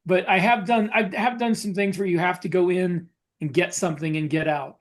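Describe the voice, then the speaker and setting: A man co-hosting a casual podcast discussion as a writer, explaining things in a halting way. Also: high voice, fairly even intonation. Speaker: podcast co-host, science fiction writer.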